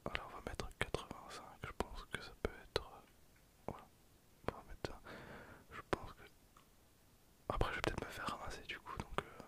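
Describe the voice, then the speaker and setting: A young man gives casual, hesitant gameplay commentary in a breathy, unvoiced ASMR whisper. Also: sharp tone. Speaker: ASMR gaming video host.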